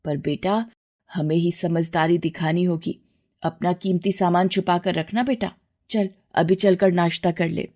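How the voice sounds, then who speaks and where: A woman's voice: fairly low pitch, steady tone, unhurried, a little mellow. A woman voicing a spiteful middle-aged mother-in-law who sneers at her son's wife and dotes on her son. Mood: sneering.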